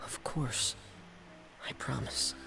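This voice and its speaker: An actor playing a shy, earnest, soft-spoken young man in an anime game. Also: takes it slowly, over music, natural voice. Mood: hesitant, earnest. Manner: reserved, earnest, careful.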